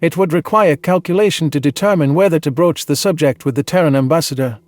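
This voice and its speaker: A man reading a dramatized science fiction story in the first person, earnest and measured with a dramatic delivery. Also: a little high, darkish tone, no room sound. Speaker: audiobook narrator.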